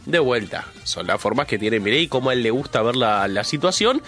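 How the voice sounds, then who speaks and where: A man's voice: medium pitch, very animated, fairly quick, a bit bright, soft background music. A male radio host with a Rioplatense Spanish accent, opening the show with a chatty monologue while congested with a cold, drawing out words for emphasis. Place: radio studio.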